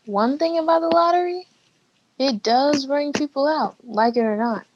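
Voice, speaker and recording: A woman's voice: high-pitched, normal speed, no room sound, lightly acted. A woman with an American accent playing a dry, folksy small-town woman in an amateur play, on lo-fi video call audio. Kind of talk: amateur stage-play acting.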